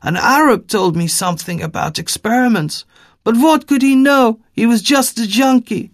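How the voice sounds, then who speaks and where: Brief: cursing, frightened street woman, as a male narrator does her, shrill, dry close sound. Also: normal speed, no room sound. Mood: exasperated. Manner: shrill and exasperated. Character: scared, hostile homeless woman, snapping and cursing.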